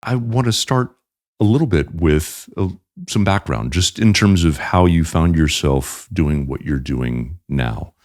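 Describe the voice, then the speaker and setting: A man hosting an interview podcast, reading the guest's introduction and asking measured questions. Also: extremely low pitch, animated, a little mellow, no room sound, studio quality. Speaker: podcast host.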